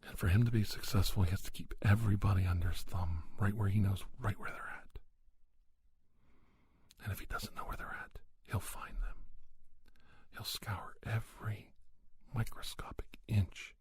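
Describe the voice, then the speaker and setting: Whispering low-voiced middle-aged man. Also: huge pitch swings, darkish tone, husky, no room sound. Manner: slow, deliberate, repeating phrases for emphasis. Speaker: podcast host. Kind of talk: ASMR, whispered reflective monologue.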